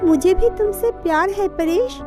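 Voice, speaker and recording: A woman playing a naive, gentle, long-suffering young village wife in an animated moral story, meek and plaintive. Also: extremely high pitch, moderate pace, over music. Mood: shy, smitten.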